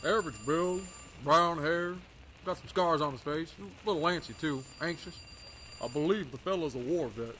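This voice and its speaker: Male actor as businesslike railroad foreman: slightly high voice, folksy, old radio style, faint background noise. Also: normal speed, sharp tone, rough recording. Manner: plain-spoken, businesslike, folksy. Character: brisk, folksy railyard foreman. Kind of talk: old time radio acting.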